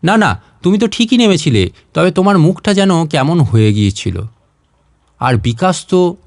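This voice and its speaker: Male narrator as cocky young pal, teasing and self-assured, storybook dialogue, slightly high pitch, dry sound. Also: very animated, normal speed, dry recording. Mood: teasing, reassuring.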